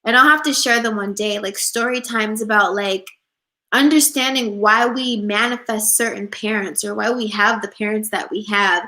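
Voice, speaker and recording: Bright-voiced chatty young woman. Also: mid-range voice, normal speed. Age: 20s. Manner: chatty and upbeat, many "like"s, reads out viewer comments. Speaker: spiritual coach and vlogger. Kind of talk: casual livestream chat answering viewer questions.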